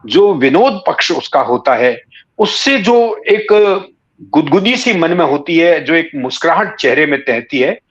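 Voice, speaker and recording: Male, 60s, writer as interview guest. Slightly high pitch, explanatory, literary wording with searching pauses, muffled lo-fi sound. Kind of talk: reflective conversational interview answers.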